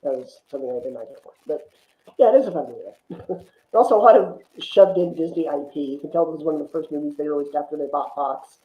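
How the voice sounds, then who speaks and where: A man's voice: a little high, quick pace. A man in a casual film chat, opinionated and rambling as he talks. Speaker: film discussion podcast guest. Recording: roomy echo, thin phone-like line.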